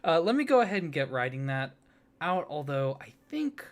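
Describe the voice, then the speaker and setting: A man doing a soft-spoken ASMR roleplay, hesitant and flustered, with many fillers, restarts and awkward apologies. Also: on the high side, big pitch swings, moderate pace.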